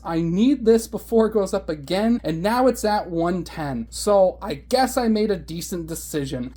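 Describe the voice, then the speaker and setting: A woman giving a casual, rambling hobbyist review in a vlog, with an animated, enthusiastic and playfully grumbling manner. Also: medium pitch. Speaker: hobbyist reviewer of weather radios.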